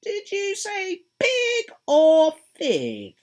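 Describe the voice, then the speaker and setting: A woman doing a high, teasing grinning cat. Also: huge pitch swings, full character voice. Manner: high, sing-song, drawn-out teasing.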